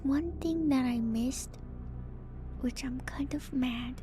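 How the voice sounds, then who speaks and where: A woman streamer speaking in a soft, breathy ASMR whisper, reading aloud and adding casual, musing comments. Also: high voice, slow pace. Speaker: streamer and host. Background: music.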